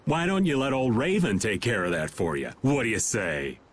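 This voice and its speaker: A man's voice: mid-range voice, wildly swooping pitch, normal speed, slight background noise. A man playing a roguish, easygoing middle-aged guild veteran in a video game, with a teasing, folksy manner. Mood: breezy, wry. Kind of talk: animated video game dubbing.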